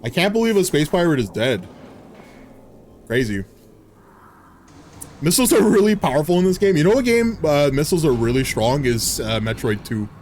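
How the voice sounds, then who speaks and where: Excitable man in his thirties, lively pitch. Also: normal speed, pauses often, a little hiss or hum. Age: 30s. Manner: excitable and jokey, laughing often. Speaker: game streamer. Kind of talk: casual live gameplay commentary.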